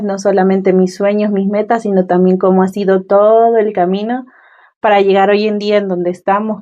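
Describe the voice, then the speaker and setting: A woman's voice: mid-range voice, dark tone, muffled recording. A woman in her twenties with a Venezuelan accent, telling her personal story as an interview guest. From Mérida, Venezuela.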